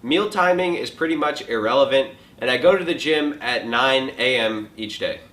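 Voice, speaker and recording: A twenty-year-old man hosting a casual question and answer vlog from a home kitchen, relaxed and joking, with lots of filler likes. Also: mid-range voice, normal speed. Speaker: fitness vlogger answering viewer questions. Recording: echoey room.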